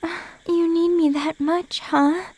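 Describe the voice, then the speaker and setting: High, sweet female guardian angel voiced by a woman. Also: little pitch movement, slow, darkish tone. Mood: tender affection. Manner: sweet, tender, coy. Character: Euphiel, gentle affectionate female guardian angel. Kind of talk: audio drama acting.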